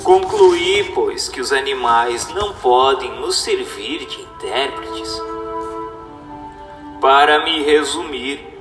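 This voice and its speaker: A man giving a scripted, solemn reading in a formal, didactic and deliberate manner, as a narrator. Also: fairly high pitch, fairly bright tone. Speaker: narrator reading a spiritist text. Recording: echoey reverberant voice with music. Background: music.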